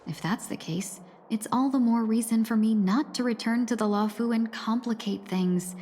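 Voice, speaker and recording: A woman acting a graceful, composed young woman in a video game, with polite, measured phrasing and a wry, quietly resolute temper. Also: on the high side, a little hiss or hum, natural voice. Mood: calm resolve. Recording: long reverberant hall echo.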